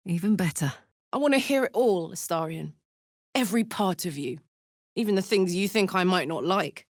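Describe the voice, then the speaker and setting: A woman's voice: lowish voice, lively intonation, moderate pace, dry recording, studio quality. A woman playing a tough, big-hearted, devil-touched warrior woman in a video game, with a blunt, earthy, sweary manner. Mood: earnest. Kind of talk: video game character voice acting.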